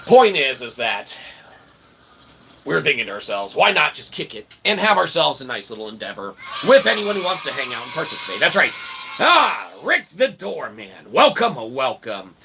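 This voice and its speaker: Animated, gravelly man hosting a comedic livestream. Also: mid-range voice, normal speed, slight background noise. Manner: mock-showman, self-deprecating, refers to himself as "we"; repeats tag phrases like "okay?" and "eh?". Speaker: livestream host. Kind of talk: rambling comedic livestream monologue.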